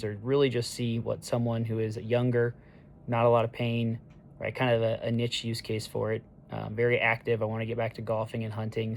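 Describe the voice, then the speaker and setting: A man in his thirties with a hoarse voice the day after neck surgery, talking through a casual video diary in a halting way, full of ums and restarts. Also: medium pitch, darkish tone, a little hiss or hum. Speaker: patient sharing a recovery diary. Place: hospital room on discharge day.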